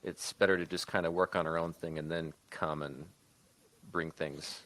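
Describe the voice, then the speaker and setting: A man with a low-pitched voice talks as a panelist on a podcast. His delivery is informal and conversational. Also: steady tone, moderate pace, a bit bright. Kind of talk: casual chat.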